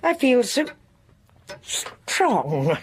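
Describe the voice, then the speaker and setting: An actress playing an exacting, irritable, frail elderly spinster in a literary audio drama, imperious and fretful. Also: female voice, on the high side, big pitch swings, very slow, a little hiss or hum, exaggerated voice. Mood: fervent, wistful.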